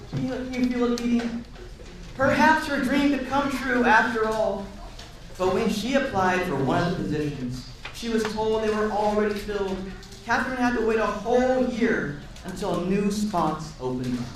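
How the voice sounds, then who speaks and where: High-voiced man reading aloud, echoey room. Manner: expressive, encouraging, chatty with questions to listeners. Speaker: storyteller reading a picture book aloud to children. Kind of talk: animated read-aloud with asides to a young audience. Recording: echoey room, distant mic, audience sounds.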